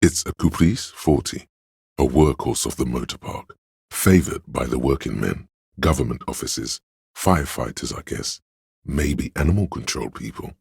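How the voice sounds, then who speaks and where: A learned, solemn inner voice of trivia for a video game. The pitch is exceptionally deep and the tone heavily husky, recorded close and dry. Delivery is measured and erudite, like scripted narration of obscure facts. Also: male voice, animated, a little mellow, dry recording, full character voice. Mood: dry, informative.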